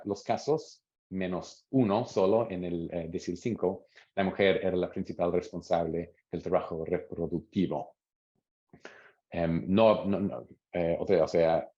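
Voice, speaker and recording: A man presenting research at an academic seminar, reading out quotes from interviews in a measured way. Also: low-pitched, warm tone. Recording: dull lo-fi audio.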